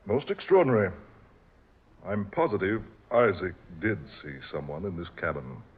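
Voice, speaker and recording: A shrewd treasure-expedition backer speaks in a measured, persuasive way. His very deep, husky voice rises and falls a lot. The old radio recording is thin and phone-like. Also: big pitch swings, exaggerated voice. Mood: calm. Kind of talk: 1940s radio acting.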